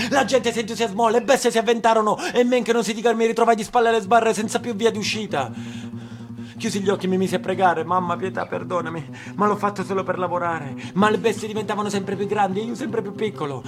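A man delivering a dramatic stage monologue in an expressive, declamatory way. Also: sits high. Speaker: stage actor. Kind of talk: theatrical monologue, dramatic storytelling.

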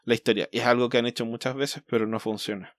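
Man, middle pitch, hesitant, thinking aloud, many fillers, dry close mic. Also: fairly even intonation, fast, no room sound. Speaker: podcast co-host. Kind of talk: casual podcast chat.

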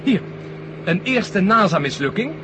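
A man's voice: high voice, big pitch swings, measured pace. An actor playing a fretful, insistent male colleague in a 1970 science-fiction radio drama. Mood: agitated. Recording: loud engine noise behind the voice. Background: helicopter engine drone.

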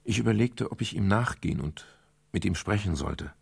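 A world-weary private detective, narrating a radio crime drama. His voice is very low and gravelly, with a husky grain, calm and protective in manner. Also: moderate pace, full character voice. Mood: composed, matter-of-fact.

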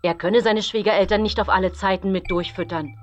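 An actress playing a proud, scornful wife quarrelling with accusing delivery in a historical radio crime drama. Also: female voice, mid-range voice, steady tone, brisk, natural voice. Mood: defiant. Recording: dull, narrow sound with faint music.

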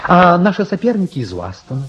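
A male actor narrating a satirical adventure radio play as a wry, dramatic storyteller with emphatic, measured pauses. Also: sits high, sing-song, lo-fi sound. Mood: wry storytelling. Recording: radio recording with faint hiss.

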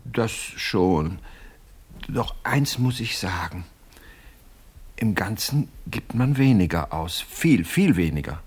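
Pompous, husky, miserly older widower. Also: male voice, on the low side, lively intonation, slow, full character voice. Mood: self-justifying. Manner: pompous, self-justifying, fussily indignant. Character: miserly, self-important older widower, a coffee importer. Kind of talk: radio play dialogue.

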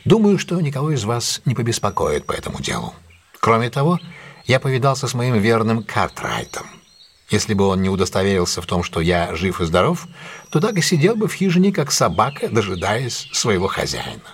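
Husky, commanding detective, swooping delivery. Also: male voice, on the low side, very animated, exaggerated voice. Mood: brisk, eager. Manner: brisk, imperious, theatrical. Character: commanding, shrewd, self-assured male detective plotting a trap. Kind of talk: audio drama acting.

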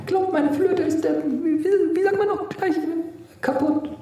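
An actress playing a flustered, apologetic flautist in a radio play, stammering and halting as she addresses listeners. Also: extremely high pitch, mellow. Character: flustered, giggly, apologetic flute-playing performer. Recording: very echoey, distant, dull sound.

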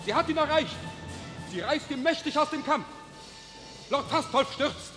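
An actor playing a soldier who reports a battle from a tower in urgent, breathless verse in a classic radio play. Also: male voice, very high-pitched, measured pace. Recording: reverberant studio with faint music.

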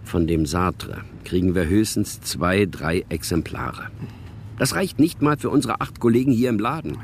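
An actor playing a wily East German bookshop salesman in a radio drama, gruff, with sly, swooping sales patter. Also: sits low, animated, moderate pace, slight background noise. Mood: sly, confiding.

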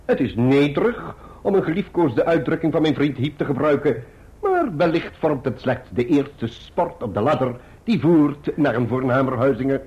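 An actor playing a pompous, genteel middle-aged clerk in a radio drama, florid and wordy with deliberate pauses. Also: male voice, mid-range voice, lively intonation, breathy edge. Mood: grandly cheerful. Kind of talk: theatrical radio drama acting. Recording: muffled, dull old radio drama recording.

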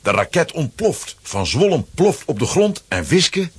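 Very raspy, animated male narrator. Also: fairly high pitch, unhurried. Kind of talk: scripted children's story narration.

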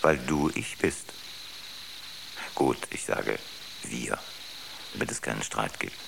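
Ship's computer for radio drama: extremely low, gravelly, coolly rational and pedantic. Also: male voice, expressive, slow, background noise, full character voice. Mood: dryly superior.

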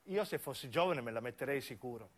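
A man's voice: medium pitch, lively intonation, quick pace, natural voice. A man plays an old southern Italian woman in radio theatre. She is vain and nostalgic, with a motherly streak. She tells her stories in a folksy, boastful way. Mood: proud, coquettish.

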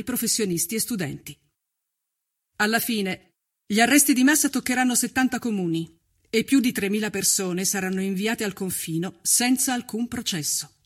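High-pitched male storyteller, solemn delivery. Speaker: narrator, storyteller. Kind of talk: dramatic scripted historical narration for radio.

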